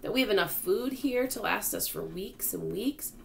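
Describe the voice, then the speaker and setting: A woman's voice: fairly high pitch, very animated, measured pace, a bit bright, voice pushed up, full character voice. A woman voicing a patient, clever young boy in a children's storybook, explaining things reassuringly.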